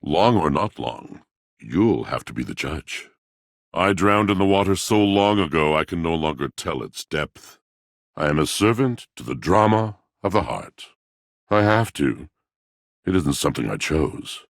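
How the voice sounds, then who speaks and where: Very deep, gravelly, brooding poet appliance. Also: male voice, lively intonation, a little slow, dry recording, studio quality, exaggerated voice. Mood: anguished. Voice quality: gravelly, rumbling voice. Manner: theatrical, florid, pompous, lamenting diction. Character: brooding, melancholy male washing machine, a grandiose wounded poet. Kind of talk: video game character voice acting.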